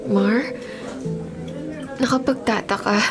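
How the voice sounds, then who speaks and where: A woman playing an anxious, ailing young mother in a Filipino radio drama, gentle and plaintive. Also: mid-range voice, fairly even intonation, slow, over music. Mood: puzzled, gentle.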